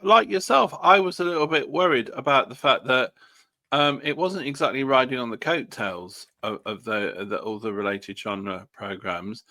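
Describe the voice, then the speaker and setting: A man's voice: medium pitch, normal speed, sharp tone. A man chatting as a podcast panelist, hesitant and searching for his words. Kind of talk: casual discussion.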